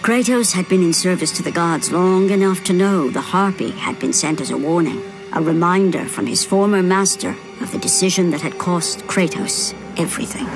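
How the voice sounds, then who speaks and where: An actress playing a grave, all-knowing narrator of a Greek myth in a video game, solemn and theatrical. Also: female voice, on the low side, natural voice. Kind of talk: dramatic scripted narration of a mythic game story. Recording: loud music behind the voice.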